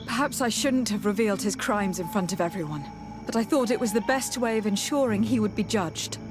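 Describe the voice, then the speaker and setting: A woman's voice: medium pitch, brisk, music in the background, lightly acted. Woman acting a composed, polite adventurer heroine. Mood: reassuring. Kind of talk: dramatic video game acting.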